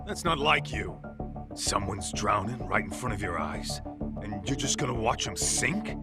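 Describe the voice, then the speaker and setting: A hot-headed, loyal detective for a game cutscene. His fairly low voice swings with lively inflection, and he talks bluntly in street slang. Music plays behind him. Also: a little slow. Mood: challenging. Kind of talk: English-dubbed video game cutscene acting.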